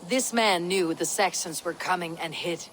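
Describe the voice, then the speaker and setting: A woman's voice: lowish voice, moderate pace, fairly bright tone, a little hiss or hum, natural voice. A woman playing a stern, blunt Viking warrior in a video game, questioning in a terse, commanding way. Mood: grave, reporting. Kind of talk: video game cutscene acting.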